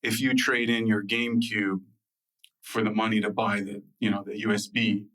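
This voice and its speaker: A man with a low, fairly monotone American voice, recalling his youth for an interviewer. He keeps circling back with fillers and restarts. He sounds a bit distant from the microphone. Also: moderate pace. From United States. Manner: loose, filler-heavy, with frequent restarts. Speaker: guest. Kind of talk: relaxed interview storytelling.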